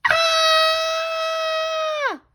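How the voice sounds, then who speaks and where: A woman voicing a helpless, startled storybook skeleton in short, repeated squeaky hiccups. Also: completely flat delivery, very slow, shouting, background noise, heavily acted. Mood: startled, hiccuping. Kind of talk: picture book read-aloud.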